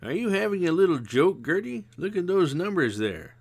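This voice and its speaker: A man voicing a calm, shrewd older woman who talks in a dry, knowing, gently scolding way. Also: high-pitched, normal speed, creaky, breathy edge, voice pushed up, heavily acted.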